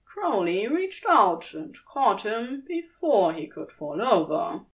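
Slow, expressive woman narrator. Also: medium pitch, rough recording. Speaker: audiobook-style narrator. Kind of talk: scripted fiction narration.

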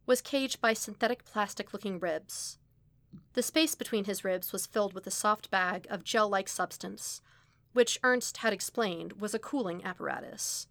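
A woman reading a fan story aloud in a steady, even storytelling manner. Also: medium pitch, gravelly, no echo. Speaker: podfic reader. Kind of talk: scripted narration of a fan story.

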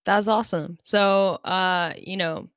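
A woman's voice: mid-range voice, slow, a bit bright, clear, resonant tone, no room sound, studio quality. A young woman hosting a podcast in an upbeat, chatty way. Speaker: podcast host.

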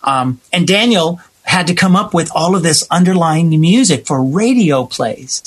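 High-pitched, husky man telling stories. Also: unhurried. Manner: expressive storytelling, acts out imagined quotes. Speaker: interview guest, theater writer and director. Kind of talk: animated conversational interview.

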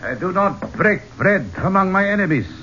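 A sharp, forceful Egyptian archaeologist, proud and accusing in a formal way. His pitch is high and he sounds resentful, in a muffled, hissy 1970s radio drama recording. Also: sing-song, moderate pace, full character voice. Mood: indignant, accusing.

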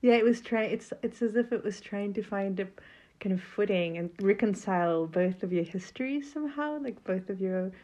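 A woman's voice: mid-range voice, normal speed, warm tone. A woman interviewing a guest in a casual conversation and asking curious, probing questions.

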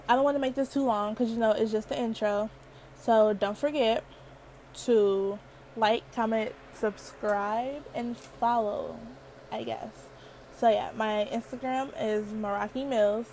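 Young woman podcaster, girlish and higher-pitched, chatty and laughing, faint hiss under the voice. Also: a little mellow, poor audio. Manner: chatty, playful, laughs often. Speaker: podcast host. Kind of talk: casual solo podcast chat.

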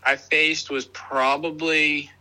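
A young man from the Boston area, a minor league ballplayer, answering interview questions over a video call in a relaxed, rambling way with lots of fillers. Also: on the high side, takes it slowly, rough recording. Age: young adult. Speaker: minor league baseball player, guest.

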